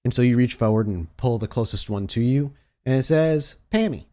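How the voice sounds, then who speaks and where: Guy game master describing the scene, medium pitch, deliberate and dramatic storytelling. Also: mellow. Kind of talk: improvised tabletop game narration.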